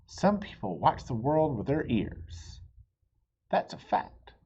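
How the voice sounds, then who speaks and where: A reader plays a serene blind man in a storybook, a courteous male voice. He speaks in a gentle, folksy way at a slow pace, on a slightly dull, noisy recording. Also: mid-range voice, very animated, slightly rough, full character voice.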